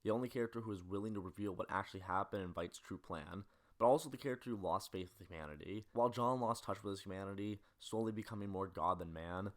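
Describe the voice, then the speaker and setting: Low voiced guy doing film essay narration, scripted, talks fast. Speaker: video essay host.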